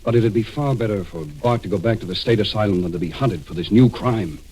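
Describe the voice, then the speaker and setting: An actor playing a serious, insistent investigator who questions in a measured way, in 1940s radio drama style. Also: male voice, lowish voice, warm tone, a little hiss or hum, close to an everyday voice, rough recording. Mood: serious, reasoning. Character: grave, insistent male investigator and story narrator. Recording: vintage 1940s radio recording.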